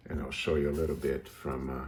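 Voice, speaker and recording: A man giving a casual, unscripted show-and-tell as a hobbyist, hesitant and halting as he thinks aloud. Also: a bass voice, level tone, background noise. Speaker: radio hobbyist presenting a project.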